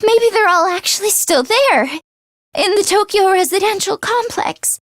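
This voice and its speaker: A woman voicing an anxious, self-deprecating teenage girl mechanic in a video game, expressive and earnest. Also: very high voice, measured pace, no room sound, studio quality, full character voice. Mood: wistful. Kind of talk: video game character voice acting.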